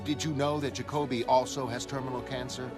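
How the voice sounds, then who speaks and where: A man's voice: mid-range voice, level tone, a little fast, natural voice. A man playing a pushy, accusing reporter in a motion comic, with a pointed, needling, insistent manner. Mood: probing. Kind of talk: motion comic character acting. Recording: music behind the voice.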